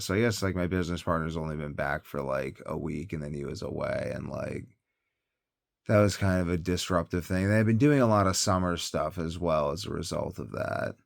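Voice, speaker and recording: A man with a deep, clean voice, recorded close and dry. He sounds tired as he rambles casually like a vlogger. He hesitates, thinks aloud and often lets his sentences trail away. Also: gravelly, no echo. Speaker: health vlogger.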